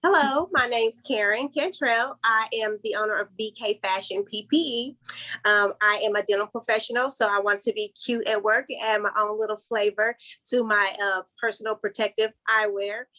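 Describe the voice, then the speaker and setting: A woman's voice: mid-range voice, normal speed, pushed and bright, rough recording. A woman in her forties introducing herself as a panel guest on a video call, friendly and polite. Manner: friendly and polite, says "yes, ma'am". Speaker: panel guest, dental professional. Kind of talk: casual self-introduction.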